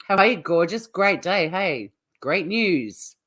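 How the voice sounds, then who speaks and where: A woman's voice: fairly low pitch, expressive, slow pace, a bit bright, breathy edge. A woman hosting a casual livestream, chatting to viewers in an informal, teasing way. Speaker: livestream host.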